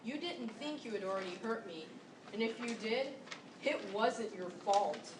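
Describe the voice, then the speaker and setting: Teenage girl, fairly high pitch, scripted recitation, roomy echo. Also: lively intonation, a little slow. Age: young teenager. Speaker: student performer. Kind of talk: readers theater recitation. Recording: echoey room, distant mic, background noise.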